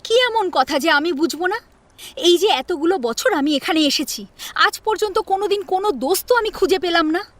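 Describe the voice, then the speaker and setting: Woman playing an outspoken, protective sister-in-law, high-pitched. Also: animated, moderate pace, fairly bright tone, dry recording. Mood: indignant, firm. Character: affectionate, outspoken married elder sister-in-law, protective and firm. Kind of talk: Bengali audio story acting.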